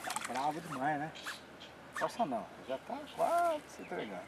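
A man's voice: a little high, huge pitch swings, normal speed, vocal fry, noisy background. Man calling out from a distance outdoors. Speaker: fishing partner with the landing net. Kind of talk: casual banter while fishing. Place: outdoors at a rural pond bank. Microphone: away from the camera mic. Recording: distant voice, outdoor rural ambience.

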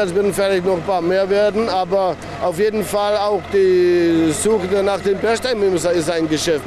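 Male interviewee, sixties, higher pitch, noisy outdoor news recording. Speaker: leader of a dig, interviewee. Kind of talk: unscripted interview answers. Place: outdoors by excavator. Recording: noisy outdoor archive news footage, vehicles.

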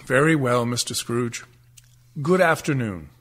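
An actor playing an earnest, genteel charity collector in a radio play, speaking with polite formality. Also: male voice, mid-range voice, lively intonation, unhurried, a bit bright. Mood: earnest, dismayed.

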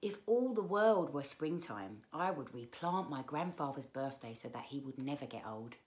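A woman in her thirties reading aloud to children as a storyteller, expressive, with drawn-out emphasis on key words. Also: a bass voice. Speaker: children's book read-aloud presenter. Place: small living room, indoors.

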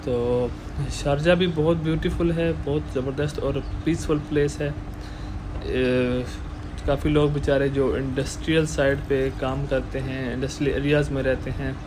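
Male travel vlogger, friendly and hesitant, phone audio outdoors with steady background noise. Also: medium pitch, unhurried. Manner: hesitant, many filler sounds, friendly. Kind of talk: walking tour narration. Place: outdoor waterfront promenade at sunset. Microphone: phone camera held while walking.

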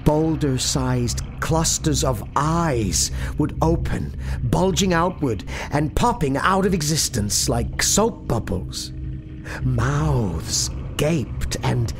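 A man narrating a cosmic horror story in the first person, hushed and tense, with a gravelly edge. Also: on the high side, expressive, takes it slowly. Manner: tense, hushed, building to anguished cries. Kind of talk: dramatic horror audiobook narration. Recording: narration over eerie music.

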